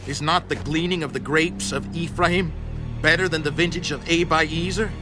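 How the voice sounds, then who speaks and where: A man acting as a stern, vengeful war leader in a dramatized scripture reading, forceful and declamatory. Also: a little high, fairly even intonation, normal speed, lightly acted. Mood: placating. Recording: loud background music.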